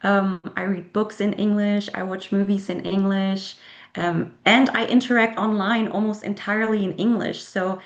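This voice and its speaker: A woman's voice: medium pitch, steady tone, moderate pace. Woman author, german is her first language, talks it through with ums and little laughs, kinda muffled remote call sound. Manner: thoughtful, many fillers and soft laughs. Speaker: author guest. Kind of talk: casual interview answers.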